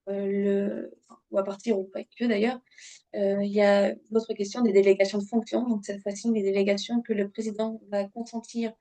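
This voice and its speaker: A woman with a mellow, relaxed voice gives an instructional online presentation. Her intonation stays quite flat and even. She speaks straight to her listeners in a teaching tone, often pausing on fillers as she searches for the next phrase. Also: mid-range voice. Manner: hesitant, halting delivery with frequent filled pauses; didactic, addresses listeners directly. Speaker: webinar presenter on local government law. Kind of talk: explanatory webinar presentation from slides.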